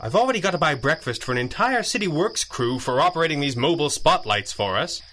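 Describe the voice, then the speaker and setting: Masked crime-fighter for period radio serial: crisp, commanding, bright forceful tone, dryly teasing, faint background noise. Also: male voice, fairly high pitch, big pitch swings, fast talker. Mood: wry. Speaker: voice actor in a radio drama. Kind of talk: 1930s-style adventure radio acting.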